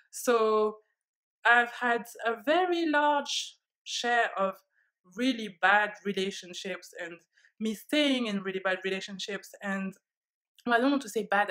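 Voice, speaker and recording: A woman's voice: medium pitch, a bit bright. Young French woman, first language French, speaking English. Candid, musing vlog talk to camera, seated at home. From France. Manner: candid, reflective, with frequent fillers. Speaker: vlogger. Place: living room, seated on a leather sofa.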